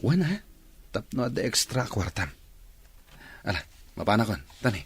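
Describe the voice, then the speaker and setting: A man's voice: fairly low pitch, animated, moderate pace, a little hiss or hum. A man playing a persuasive, evasive young boyfriend in a radio drama. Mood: brusque, hurried. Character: smooth-talking, evasive young boyfriend making promises.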